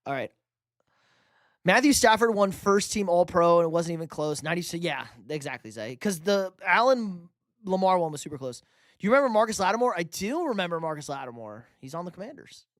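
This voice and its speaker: A man's voice: high voice, big pitch swings, a little fast, dry recording, clean studio sound. A young man hosting a live sports stream, reading chat questions and bantering loosely with casual profanity. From New York. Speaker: livestream host reading chat.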